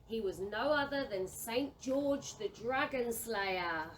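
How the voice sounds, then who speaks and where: A woman's voice: fairly high pitch, lively intonation, takes it slowly. A woman narrating a children's storybook audio play. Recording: distant mic with background noise.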